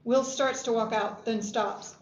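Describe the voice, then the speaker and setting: A woman's voice: on the high side, fairly even intonation, measured pace. A woman reading out stage directions as scripted narration at a screenplay table read. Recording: echoey, dull, faintly noisy room recording.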